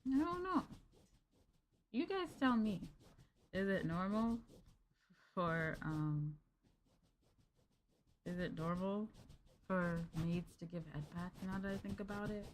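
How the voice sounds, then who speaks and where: A young woman streamer talking gently and softly with her viewers during a cozy ASMR roleplay stream, often giggling and dropping to a hush. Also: medium pitch, expressive, takes it slowly, pauses often, a little hiss or hum.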